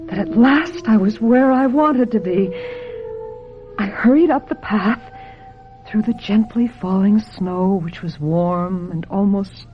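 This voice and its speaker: A woman acting a wistful, needy young woman in a radio drama, with a dreamy, yearning, measured delivery. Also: mid-range voice, natural voice. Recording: muffled old radio drama recording.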